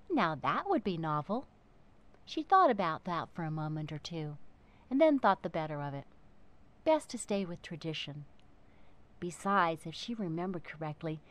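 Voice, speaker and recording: A woman narrating a children's book in an expressive, theatrical way, with dramatic emphasis and pauses. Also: on the low side.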